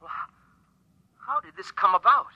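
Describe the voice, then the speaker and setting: An actor playing an authoritative, excitable middle-aged father with urgent, dramatic delivery in a 1950s radio drama. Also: normal speed, close to an everyday voice. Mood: bewildered. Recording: dull vintage radio broadcast.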